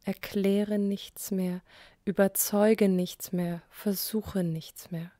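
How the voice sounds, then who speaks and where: A woman recites poetry very slowly in short, measured phrases. Her voice is soft and mellow, often trailing into breath at the ends of lines. The recording is dry and close, with long pauses between phrases. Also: mid-range voice, flat delivery, no room sound. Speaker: poet.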